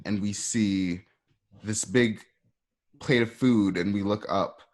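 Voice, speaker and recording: A man with a low voice narrates a radio play. He describes scenes and stage action in a casual, conversational way. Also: steady tone, slow. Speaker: narrator reading stage directions.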